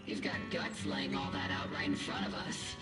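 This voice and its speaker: A hard-nosed, suspicious woman captain in a video game drama. She speaks bluntly and presses with pointed questions. The sound is echoey, with loud music underneath. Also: extremely low pitch, steady tone, normal speed. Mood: cool, probing. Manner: pointed, interrogating.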